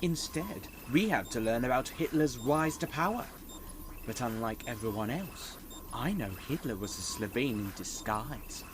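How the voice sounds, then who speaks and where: A man acts a joking teenage boy in a sci-fi audio drama. His delivery is flippant and very animated, with faint noise behind him. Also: medium pitch, a little slow, close to an everyday voice. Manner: flippant, lively. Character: cheeky, joking teenage schoolboy.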